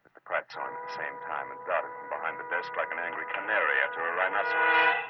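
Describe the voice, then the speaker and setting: A man's voice: very deep voice, fast talker. An actor playing a wisecracking private eye narrating his case, tough, wry and slangy, in 1940s radio drama style. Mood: tense narration. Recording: narrow-band old radio recording with music.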